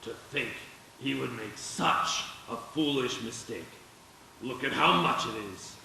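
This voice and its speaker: Miserly, contemptuous old banker villain, male actor, gravelly radio-play delivery, very echoey with music. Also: medium pitch, a little slow, pushed and bright, distant mic, slight background noise, exaggerated voice. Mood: scornful. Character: cold, grasping, scornful old male banker. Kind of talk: live radio play acting.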